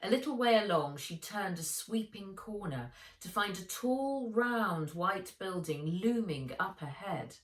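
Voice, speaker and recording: A woman's voice: mid-range voice, animated, measured pace, hardly any pauses, far from the mic. Woman reading a kids adventure story, takes her time, roomy echo on it. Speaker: author reading own book. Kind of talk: expressive children's audiobook narration. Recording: roomy, echoey room sound.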